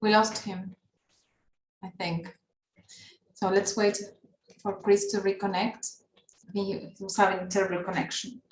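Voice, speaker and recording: A woman's voice: mid-range voice, flat delivery, measured pace, pauses often, slight background noise. Woman moderating a video call in an echoey room. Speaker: session moderator.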